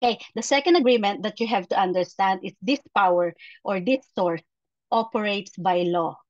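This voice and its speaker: A woman with a Filipino accent speaking as a performance coach in a motivational webinar, with deliberate, emphatic phrasing and repetitions over a video call. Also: medium pitch, dry recording. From Philippines. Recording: dull, lo-fi webinar audio.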